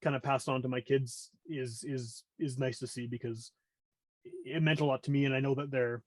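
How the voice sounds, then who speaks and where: A man's voice: medium pitch, fairly even intonation, moderate pace, no echo. A man of about forty with a Canadian accent, chatting casually as a podcast guest in a rambling, self-interrupting way. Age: around 40.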